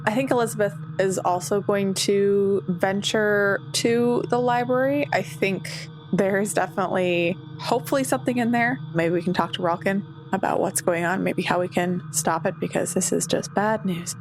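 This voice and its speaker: A woman chats casually as a player in a tabletop game. Her voice is mid-range in pitch, with faint music behind it. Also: steady tone, normal speed, hardly any pauses.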